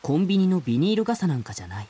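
A woman voicing an earnest, skeptical middle-school boy in an audio drama, acting his part and narrating his story with a husky voice. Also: on the low side, big pitch swings, rapid-fire, mellow. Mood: reflective narrating.

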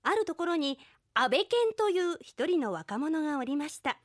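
A woman's voice: high-pitched, very animated, moderate pace. A woman reading scripted narration for a radio drama.

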